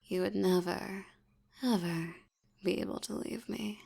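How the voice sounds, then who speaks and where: Female vampire elder, acted by a woman, audio roleplay: seductive, menacing, languid delivery, creaky mid-pitched voice sinking into breathiness. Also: steady tone, mellow, exaggerated voice. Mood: calculating, possessive. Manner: slow, teasing, menacing, with lingering pauses. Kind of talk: intimate scripted audio roleplay.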